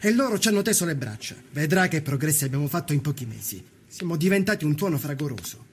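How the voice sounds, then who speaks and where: An actor playing a proud, fervent young Sicilian workers' leader in a dramatised documentary, speaking in declarative, rhetorical phrases. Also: male voice, on the high side, expressive, fast, a little hiss or hum.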